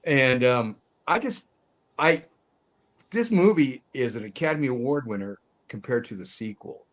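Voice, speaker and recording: A man's voice: mid-range voice, lively intonation, normal speed. Blunt, opinionated man chatting about films. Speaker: movie podcast co-host. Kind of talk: casual movie discussion.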